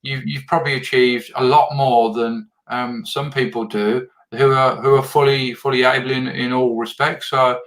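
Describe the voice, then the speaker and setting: A man's voice: mid-range voice, flat delivery, moderate pace, hardly any pauses, a bit bright, low-quality recording. Earnest male podcast host. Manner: earnest, repeats words when searching for phrasing. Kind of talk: casual video interview.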